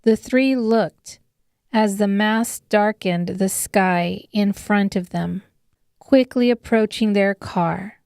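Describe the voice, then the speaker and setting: Female narrator for dramatic fiction audiobook. Measured pace, resonant tone, very dry sound. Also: medium pitch, creaky, a little mellow, smooth, dry recording. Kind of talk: scripted dramatic story narration.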